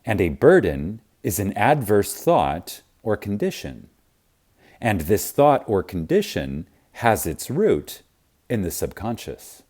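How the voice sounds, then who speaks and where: A man reading a spiritual audiobook reverently and emphatically, with deliberate dramatic pauses. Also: lowish voice, big pitch swings, darkish tone. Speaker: audiobook narrator.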